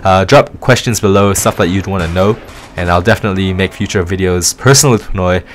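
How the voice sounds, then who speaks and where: A man's voice: sits low, a little fast. A man of about twenty from London with a mixed British and American accent, talking casually as he gives a gameplay commentary. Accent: London English mixed with American. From London, England. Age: around 20. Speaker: gaming video creator. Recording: music behind the voice. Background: music.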